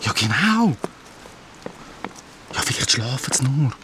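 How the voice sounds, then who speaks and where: A woman's voice: very deep voice, very animated, slow pace, background noise, heavily acted. A woman voicing a loyal, easily frightened young boy in a children's radio play, with a Swiss German accent. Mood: uneasy.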